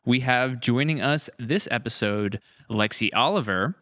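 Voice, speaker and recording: A man's voice: medium pitch, measured pace, a bit bright, slight background noise. A man hosting a casual podcast interview, talking in a conversational, explanatory way. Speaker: podcast host and series creator.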